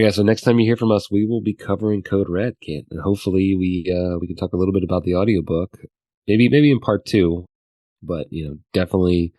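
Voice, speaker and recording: A man co-hosting a podcast in casual discussion, speaking haltingly and often repeating words. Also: sits low, mellow, no echo. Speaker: podcast co-host.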